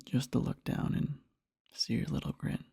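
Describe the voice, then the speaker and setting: A man playing a devoted, sleepy boyfriend in an ASMR roleplay, murmuring tenderly and haltingly in a husky whisper, close to the microphone. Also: dark tone, no room sound, close to an everyday voice. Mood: drowsy, affectionate. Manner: slow, halting, rambling, tender. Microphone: close-up microphone, dry.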